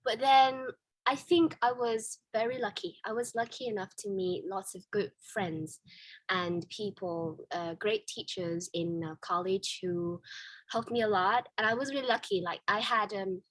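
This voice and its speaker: A young woman with a Vietnamese accent speaks English as a second language and tells her story in a relaxed chat. Her pitch sits in the middle, and she often restarts her sentences with fillers. The sound is dry and close, with no room echo. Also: unhurried. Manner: candid, many fillers and restarts, laughs easily. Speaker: guest. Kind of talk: casual interview chat.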